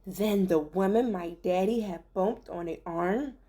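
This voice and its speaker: A woman reading a rhyming children's story aloud with theatrical, deliberate phrasing. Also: medium pitch, dark tone.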